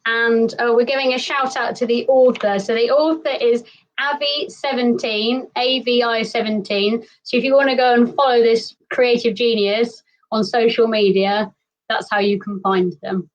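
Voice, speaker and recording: A woman hosting a live stream, upbeat and quick to laugh. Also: on the high side, steady tone, far from the mic, dull audio. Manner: bright, giggly, upbeat. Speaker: host. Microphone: video call.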